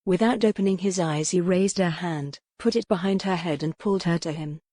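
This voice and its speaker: A woman's voice: fairly low pitch, a little fast, mellow, dry recording. A woman reading scripted historical romance fiction as an audiobook narrator.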